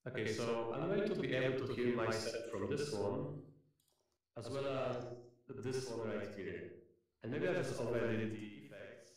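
A man's voice: medium pitch, a little slow. A man talks casually as he demonstrates, heard through a walkie-talkie effect. The sound is drenched in echo and sits far from the mic. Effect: walkie-talkie filter with reverb. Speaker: tutorial host. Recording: very echoey, distant filtered voice chat.

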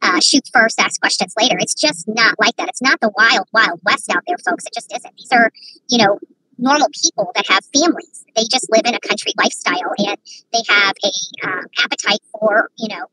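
A woman vlogger giving casual, rambling commentary to her viewers in an earnest, halting way, repeating herself. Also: fairly high pitch, animated, sharp tone, breathy edge, far from the mic, low-quality recording.

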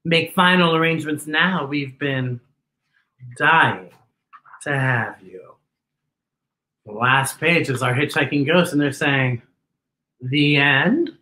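A middle-aged man reading a picture book aloud to children with theatrical, exaggerated, drawn-out delivery. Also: medium pitch, sharp tone, reverberant, low-quality recording. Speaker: children's storytime host.

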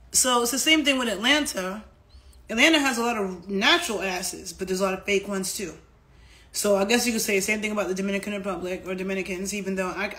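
A woman's voice: medium pitch, pushed and bright. A woman, talking candidly to a phone camera at home in a chatty vlog. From New York. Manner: candid, chatty, frequent fillers and asides. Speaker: fitness vlogger. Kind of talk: casual talk to camera. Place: indoor room at home.

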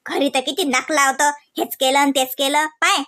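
A doting cartoon village mom, very high and bright, with a Varhadi Marathi accent. She is gullible and proud, gushing in exclamations and repeating her words. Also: fairly even intonation, moderate pace. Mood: pleased, chatty. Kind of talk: comedy cartoon dialogue.

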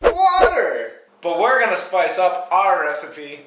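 A young man co-hosting a home cooking tutorial, cracking silly deadpan jokes as he explains the steps. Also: sits high, takes it slowly, recorded from a distance, very noisy. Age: young adult. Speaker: cooking show co-host. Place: small home kitchen, indoors. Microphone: camera mic from a distance. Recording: echoey kitchen from off-mic.

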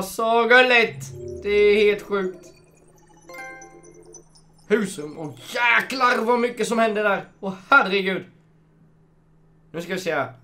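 A man's voice: high-pitched, bright, forceful tone. A young man hosting a let's play, chatting casually, gushing and reading the game's menus aloud at his home setup. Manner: chatty, reads game menus aloud, gushes. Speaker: gamer, channel host. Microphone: desk microphone.

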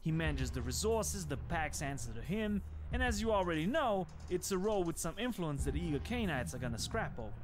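Casting: cynical vampire foot soldier for a game, higher-pitched male voice, animated, sardonic street talk. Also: brisk, hardly any pauses. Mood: explaining, blunt. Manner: slangy, blunt, sardonic. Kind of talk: video game dialogue. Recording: music behind the voice.

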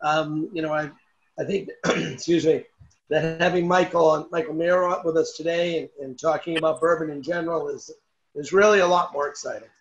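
A middle-aged man with a slightly raised pitch speaks earnestly over a video call, stumbling and restarting his sentences. The sound is dull and lo-fi, as from a laptop mic. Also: steady tone. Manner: halting, repeats himself, earnest. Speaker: bourbon brand co-owner. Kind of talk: casual video-call interview.

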